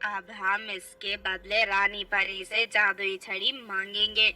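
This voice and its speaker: Casting: menacing elder witch for a cartoon tale female performer, bossy and scolding, dropped pitch, forceful tone, faint music. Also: little pitch movement, slow. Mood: scheming.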